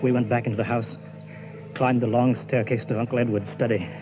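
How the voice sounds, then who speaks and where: An actor playing an anxious, hesitant, henpecked husband in an old-time radio horror play. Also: male voice, mid-range voice, flat delivery, brisk, music in the background, lightly acted. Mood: nervous. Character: nervous, weak-willed, henpecked nephew and husband. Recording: muffled, hissy old radio recording.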